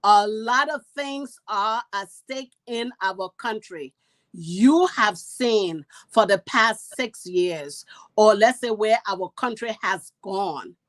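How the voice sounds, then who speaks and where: A woman's voice: mid-range voice, takes it slowly, no echo. A woman with a Liberian accent, speaking as a panelist and earnestly urging voters, repeating phrases for emphasis. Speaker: panelist giving advice. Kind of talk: earnest appeal in a panel discussion.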